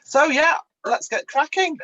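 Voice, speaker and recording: A woman's voice: high voice, lively intonation, a little slow, a bit bright, no echo, muffled recording. A woman in her sixties hosting a live cookalong over a video call from her caravan, chatty and effusive. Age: 60s. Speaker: host. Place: caravan on a campsite.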